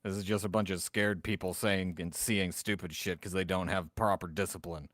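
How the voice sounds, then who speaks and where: A man's voice: low-pitched, fairly even intonation, moderate pace, a bit bright, no room sound, clean studio sound. A man chatting casually and roleplaying as a player in a tabletop game.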